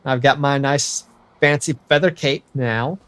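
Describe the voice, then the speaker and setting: A man's voice: mid-range voice, steady tone, measured pace, fairly bright tone, smooth, dry recording. A young American man chatting casually to viewers while he livestreams a building game, rambling and correcting himself as he goes. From United States. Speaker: streamer.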